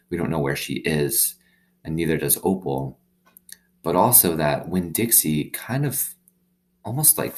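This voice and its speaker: A man reading a children's story aloud like a teacher, pausing to explain simply and check in with little questions. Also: rumbling low. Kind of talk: read-aloud with explanatory asides.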